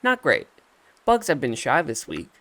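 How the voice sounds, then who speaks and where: A man voicing a gentle, earnest young bug-hunting animal in a video game. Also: medium pitch, wildly swooping pitch, unhurried, creaky, no echo, heavily acted.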